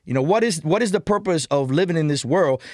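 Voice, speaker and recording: A middle-aged Cuban man speaks with a strong Cuban Spanish accent, fairly high in pitch and full of emphasis. He tells his stories animatedly, repeating words and calling out "brother." The sound is dry and close to the mic. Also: animated, fast, no room sound. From Cuba. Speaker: interview guest. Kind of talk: podcast interview storytelling.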